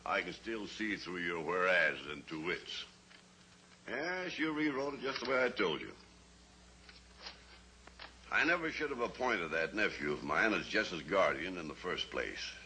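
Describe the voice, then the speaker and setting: A stubborn, gruff old rancher. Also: male voice, deep voice, very animated, a little slow, pauses often, sharp tone, heavily acted, poor audio. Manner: blunt, gruff, plain-spoken. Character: stubborn older rancher father, blunt. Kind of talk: 1950s radio drama acting. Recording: old radio recording with faint hiss.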